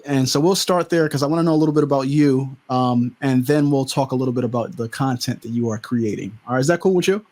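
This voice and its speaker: Laid-back guy hosting an interview, mid pitch, lots of ums. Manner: relaxed, lots of fillers and restarts. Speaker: host. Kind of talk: casual interview.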